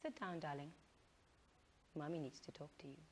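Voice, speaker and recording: A woman's voice: low-pitched, moderate pace, slight background noise, voice pushed down, lightly acted. A woman voicing an outspoken, emphatic mother in a story reading, firm with both anger and tenderness in her. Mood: stern, probing. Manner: firm, emphatic, patient with child.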